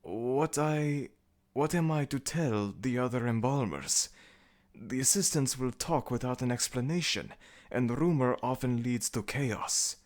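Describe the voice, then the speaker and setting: A man playing a deferential, doubtful embalmer's assistant serving a god of the dead in an ASMR audio roleplay, with a slow, questioning manner. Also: medium pitch, lightly acted. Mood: doubtful, submissive.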